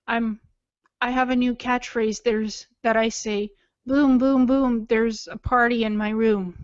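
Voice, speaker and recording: A woman from Ukraine tells her own story in an earnest, matter-of-fact way. Her mid-pitched voice is unscripted and conversational. The recording is dry and slightly dull, with no room sound. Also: no echo. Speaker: self-described alien contactee telling own story. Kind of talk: personal testimony, unscripted talk.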